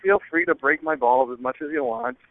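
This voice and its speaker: A man calling in to a show over the telephone, rambling casually and hesitantly with many ums and pauses. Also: a little high, big pitch swings, vocal fry. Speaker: listener calling in. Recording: narrow, dry phone-line sound.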